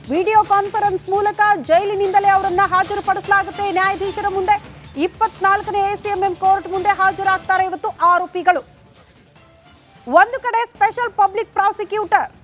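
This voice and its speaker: A woman's voice: very high-pitched, fairly bright tone. A woman anchoring TV news in an emphatic, questioning style. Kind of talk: TV news presenting. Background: music under the voice.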